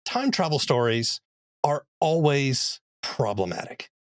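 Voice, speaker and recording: A man hosts a podcast with a voice of ordinary pitch, recorded dry and close. He asks long, curious questions and often chimes in with quick agreement. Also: lively intonation, no room sound. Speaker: podcast host. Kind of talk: casual podcast interview.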